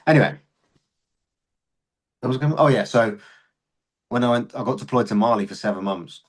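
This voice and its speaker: A man's voice: medium pitch, moderate pace, breathy edge. A young man, a podcast guest and serving soldier, telling candid stories in a casual chat and swearing freely.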